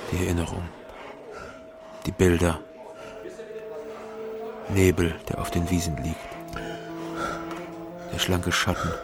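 An actor playing a haunted, hard-drinking East German private detective in a radio drama, halting, weary and brooding. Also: male voice, sits low. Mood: bitter, haunted. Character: haunted male East Berlin private detective, ex-border soldier, heavy drinker. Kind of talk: radio drama dialogue and brooding first-person narration. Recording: music behind the voice.

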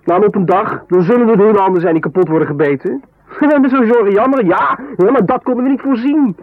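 An actor playing a weary, sardonic artist husband in a 1970s radio drama, grumbling and bitter, with a husky voice. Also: male voice, high voice, fast, no room sound. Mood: bitter sarcasm. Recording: thin phone-like vintage radio sound.

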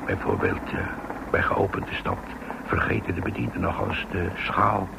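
An actor playing a mild, deferential safecracker who is proud of his art, hesitant and gravelly, in a radio drama. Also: sits low, sing-song, normal speed, heavily acted. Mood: expert, explaining. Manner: hesitant, deferential, verbal tics, fussy pride. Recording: noisy old radio drama recording.

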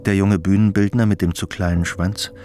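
An actor plays a wry male storyteller in a radio drama. His voice sits low. He narrates in a literary, mock-solemn manner suited to dark comedy. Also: level tone, brisk, slight background noise, close to an everyday voice.

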